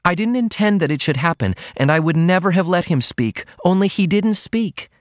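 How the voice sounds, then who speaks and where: A man's voice: high voice, lively intonation, moderate pace, no echo, studio quality, pitched above the performer's own voice. A man reading aloud, voicing a young woman who speaks in pleading, earnest tones.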